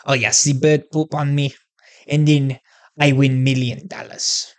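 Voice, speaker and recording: A video host putting on a deliberately bad, exaggerated Russian accent, speaking in clipped, broken phrases. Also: medium pitch, flat delivery, close to an everyday voice. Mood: mock-solemn.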